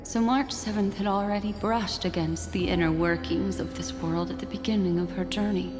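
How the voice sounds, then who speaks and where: A woman's voice: medium pitch, steady tone, moderate pace, natural voice. A woman voicing a serious, thoughtful companion in a video game, pensive and questioning. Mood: pensive, puzzled. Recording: long reverb, music behind.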